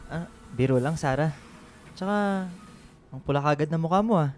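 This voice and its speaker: A man's voice: a little high, moderate pace, darkish tone, a little hiss or hum, natural voice. A man playing a flirty, world-weary middle-aged boss who likes to joke, in a radio drama. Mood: playful, flirty.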